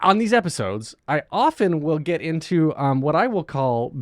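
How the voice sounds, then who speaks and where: A man hosts a theater interview show, chatty and upbeat. His pitch rises and falls in a lively way. The sound is close and dry, with no room around it. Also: moderate pace, dry recording. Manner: upbeat, chatty, uses fillers. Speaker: podcast host. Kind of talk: casual interview chat.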